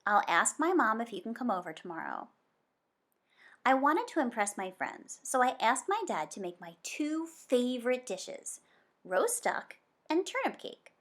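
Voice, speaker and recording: A woman's voice: fairly high pitch, very animated, a little slow, fairly bright tone, exaggerated voice. A woman voicing an anxious, boastful schoolboy telling his story with dramatic emphasis. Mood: excited. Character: Sam Wu, anxious boastful schoolboy narrator, easily embarrassed.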